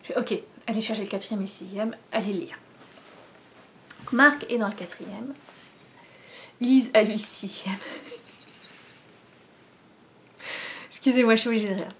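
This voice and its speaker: A woman's voice: mid-range voice, unhurried, long pauses, fairly bright tone. A woman in her thirties chats to a camera in her living room, rambling along with plenty of fillers and restarts. Her voice sits in a middle range for a woman. A faint hum of the room sits behind her. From France. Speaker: knitting vlogger. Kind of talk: casual chat to camera. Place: living room at home, indoors. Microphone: camera in front, head and shoulders.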